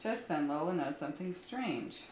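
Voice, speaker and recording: A woman with a fairly low voice tells children's stories aloud. She reads expressively and pauses to explain things to young listeners. The recording is roomy, with faint background noise. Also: expressive, a little mellow. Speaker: children's story reader. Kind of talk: storytelling read aloud for children, with asides.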